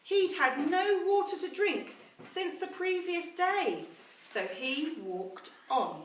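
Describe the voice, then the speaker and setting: A woman reading a story aloud to children in a teacherly way, pausing to explain words and ask questions. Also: very high voice, expressive. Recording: roomy echo, distant mic, faint noise.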